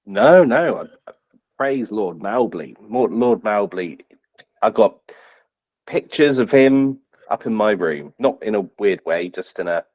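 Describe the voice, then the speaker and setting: A man's voice: lowish voice, expressive, a little slow, lo-fi sound. A man playing an eager, devout young squire in a tabletop game, earnest and repeating himself. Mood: reverent.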